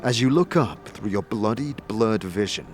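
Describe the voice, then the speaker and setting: A man narrating a dark fantasy audio drama in the second person, with deliberate, suspenseful storytelling. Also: fairly low pitch, lively intonation, faint music behind.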